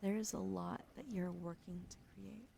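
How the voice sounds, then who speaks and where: Young woman, soft ASMR talker, husky fry in the voice, half-whispering close to the mic, hissy home recording. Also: medium pitch, steady tone, moderate pace. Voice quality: gravelly fry, often whispered. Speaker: streamer doing tarot readings. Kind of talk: casual ASMR chat, soft-spoken.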